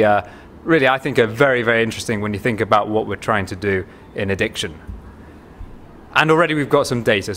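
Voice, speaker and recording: A man with a Manchester accent, presenting his company to an investor audience at a conference. He talks in a chatty, informal way, often pausing on "um" and restarting phrases. Faint room noise sits under his voice. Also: mid-range voice, a bit bright. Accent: Manchester English. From England. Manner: chatty, with frequent ums and restarts.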